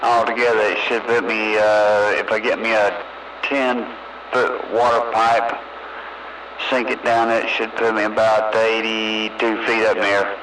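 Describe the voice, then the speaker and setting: A man's voice: on the low side, flat delivery, unhurried. Man on a noisy CB radio. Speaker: CB radio operator. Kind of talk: casual CB radio chat.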